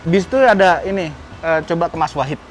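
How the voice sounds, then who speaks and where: Casual vlog host, male, Indonesian accent. High voice, swooping intonation, teasing and clipped delivery. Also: lively intonation, fairly quick, soft background music, rough recording. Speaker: car channel host. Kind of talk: casual chat, showing cars and questioning a guest.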